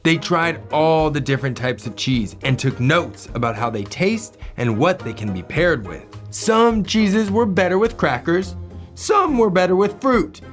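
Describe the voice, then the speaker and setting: A man reads a children's story aloud in a playful, theatrical style. His pitch swings widely and his pace is unhurried. Soft music plays behind him. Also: animated. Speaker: co-host of a children's read-aloud show. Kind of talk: animated read-aloud narration for young children.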